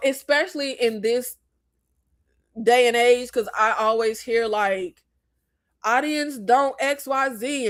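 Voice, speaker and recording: Animated young Floridian woman, high and dry. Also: dry recording. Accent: Floridian Southern slang. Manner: animated, emphatic, jumps into high pitch when exasperated. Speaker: live stream host discussing movies. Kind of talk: casual live chat reading viewer comments.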